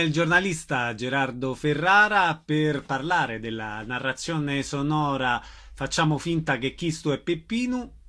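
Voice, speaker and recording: A man hosts a radio programme, with a voice pitched a little higher than average. He presents events and puts short questions to his guests. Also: bright, forceful tone. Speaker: radio host and interviewer. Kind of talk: radio interview and event announcements.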